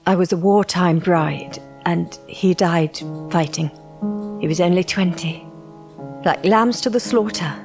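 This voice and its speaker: A woman playing a kindly, hospitable, flattering middle-aged secretary, chatting effusively in an audio drama. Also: fairly low pitch, unhurried, soft background music. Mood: subdued candour.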